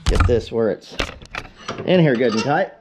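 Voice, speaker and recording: A man's voice: mid-range voice, lively intonation, unhurried. A man giving a casual, unscripted how-to demonstration in a folksy, plain-spoken way, talking to viewers as a blacksmith at work. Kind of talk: casual unscripted workshop tutorial talk. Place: cluttered forge workshop. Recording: noisy workshop with power tools and clatter.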